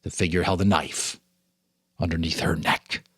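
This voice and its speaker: A man giving a dramatic reading of a ghost story, with suspenseful, deliberate phrasing and dramatic pauses. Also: sits low, steady tone, fairly bright tone, breathy edge, no room sound, studio quality. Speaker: storyteller, narrator and host.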